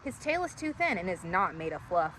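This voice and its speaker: A woman storyteller reading a rhyming children's story aloud in an animated style. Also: lowish voice, a little fast, a little hiss or hum, rough recording.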